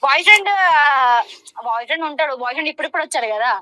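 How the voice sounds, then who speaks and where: A young woman answers casually as a phone interview guest. Her voice is high and bright, and she speaks at a brisk clip. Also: lively intonation, poor audio.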